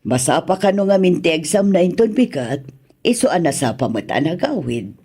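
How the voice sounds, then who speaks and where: A woman playing a mild older mother in a radio drama, speaking in a hesitant, halting manner. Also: on the low side, sing-song, dark tone, husky. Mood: concerned.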